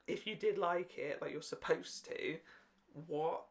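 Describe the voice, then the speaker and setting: A man talks as a podcast co-host in relaxed, informal conversation. He is emphatic and jokey, making his points with playful conviction. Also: a little high, unhurried, creaky. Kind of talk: casual chat.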